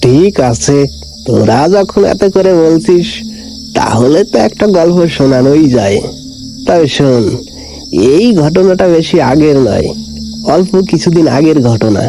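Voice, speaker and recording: A man's voice: on the high side, animated, measured pace, mellow, soft background music, rough recording. A man voicing a weary, wary village grandfather of about seventy in a cartoon ghost story, talking in a folksy, self-muttering way. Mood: storytelling. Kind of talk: animated ghost story dialogue.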